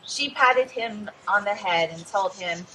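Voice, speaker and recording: A woman reads a picture book aloud for children in an expressive storytelling manner. Her voice is bright and she takes her time, speaking directly to young listeners. A little noise sits behind her. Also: mid-range voice, measured pace, low-quality recording. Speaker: children's read-aloud host.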